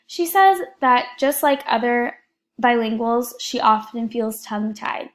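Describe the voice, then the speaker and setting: Young woman student, slightly high voice, earnest and steady, reading a prepared class report. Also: a little slow. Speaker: education student presenting a class project.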